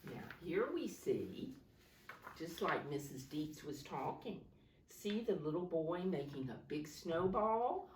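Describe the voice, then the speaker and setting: A woman with a low voice leads storytime for small children. Her delivery is slow and instructive, in simple words with questions and prompts. The recording is roomy, with the microphone some distance away. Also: sing-song, a little hiss or hum. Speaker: preschool teacher reading a picture book aloud. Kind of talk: storytime talk to young children.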